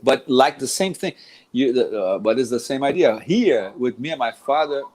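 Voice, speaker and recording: A man's voice: medium pitch, expressive, normal speed, a little mellow. Brazilian man rambling about jiu-jitsu teaching. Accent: Brazilian Portuguese. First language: Portuguese. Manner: rambling, restarts often, says "man" a lot. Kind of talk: casual talk about teaching.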